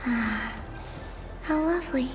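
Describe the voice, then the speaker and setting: A woman voicing a gentle, serene young goddess in a video game, soft and innocently curious. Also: high-pitched, slow, music in the background. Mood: gentle wonder.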